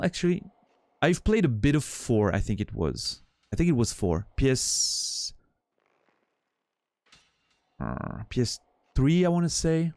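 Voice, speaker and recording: A man's voice: mid-range voice, darkish tone, no echo. A man from Switzerland with a Swiss French accent, a native French speaker, chatting casually with his stream viewers in a rambling, profane way full of restarts.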